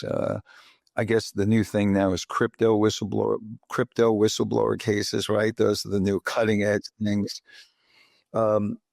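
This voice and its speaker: A man's voice: lowish voice, vocal fry, a little mellow, no room sound, studio quality. A man from the Bronx, hosting an interview podcast in a chatty way, often asking "right?" to draw agreement. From Bronx, New York. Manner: chatty, asks leading questions with frequent "right?". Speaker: podcast host. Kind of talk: conversational interview.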